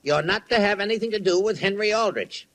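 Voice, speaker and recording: A man's voice: sits high, fairly quick, a bit bright. An actor playing a stubborn, huffy middle-aged father in old-time radio comedy, emphatic and self-righteous. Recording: noisy old radio recording.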